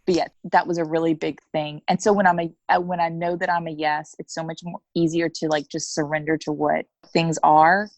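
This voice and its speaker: A woman's voice: sits low, little pitch movement, brisk, hardly any pauses, no room sound. A woman in her thirties speaking frankly as an interview guest, acting out little bits of dialogue as she talks. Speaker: coaching client, interview guest. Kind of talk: candid conversational interview.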